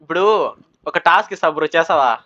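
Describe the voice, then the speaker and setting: A man's voice: fairly high pitch, lively intonation, fairly quick, muffled recording, background noise. A man chatting casually and coaxingly as a prank host, mixing in English words. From Kadapa, Andhra Pradesh, India. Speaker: YouTuber hosting a prank on a video chat. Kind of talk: casual banter, giving dares.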